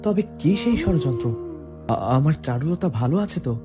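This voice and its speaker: A man's voice: a little high, very animated, normal speed, over music. A man voicing an anxious, devoted young prince in a cartoon fairy tale, theatrical and worried. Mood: worried, suspicious.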